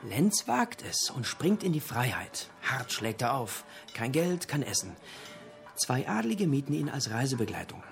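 An actor playing a talkative literature buff in a radio drama, lecturing theatrically in pithy, punchy fragments. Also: male voice, medium pitch, big pitch swings, unhurried, vocal fry, soft background music. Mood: relishing, theatrical. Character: talkative literature buff holding forth in a pub.

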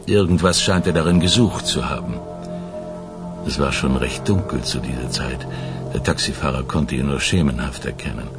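An actor playing an earnest, methodical police investigator in a German radio crime drama, speaking deliberately. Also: male voice, extremely low pitch. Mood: methodical, grave. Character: Russanow, male police investigator recounting a case, measured and earnest. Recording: studio radio drama with music underneath.

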